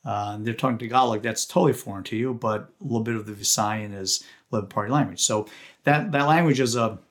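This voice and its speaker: A man whose first language is Ukrainian, hosting a casual podcast chat in an earnest, halting way with frequent restarts. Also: on the low side. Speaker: podcast host. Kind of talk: casual conversational interview.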